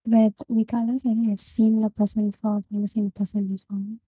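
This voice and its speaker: A young woman with a Singaporean English accent, casually discussing a book with friends and often pausing to find her words. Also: medium pitch, level tone, dark tone, slightly rough, dry recording, low-quality recording. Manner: halting, searching for words. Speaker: book club member.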